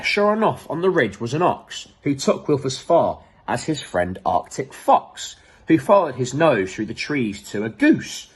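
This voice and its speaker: A man reading a children's picture book aloud in an expressive, dramatic way. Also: medium pitch, slightly rough. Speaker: storybook reader.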